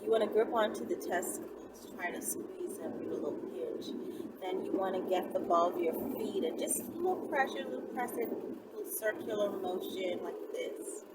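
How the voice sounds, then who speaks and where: A woman's voice: mid-range voice, expressive, moderate pace. Woman vlogger, very noisy distant recording. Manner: conversational, playful, checks in with tag questions. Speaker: channel host. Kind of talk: casual instructional vlog.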